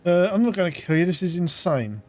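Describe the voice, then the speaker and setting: A man's voice: high-pitched, very animated, fairly quick, darkish tone, faint music behind. A man doing casual playthrough commentary, reading out his character's replies and reacting as he plays. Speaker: gamer playing and reacting.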